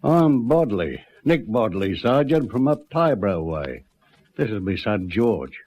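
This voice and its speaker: A man's voice: fairly low pitch, big pitch swings, a little slow, slightly rough, exaggerated voice. A man playing a worried, stubborn country bushman father with a rural Australian accent, drawling and ungrammatical, in a radio drama. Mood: polite, hesitant. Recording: muffled old radio recording.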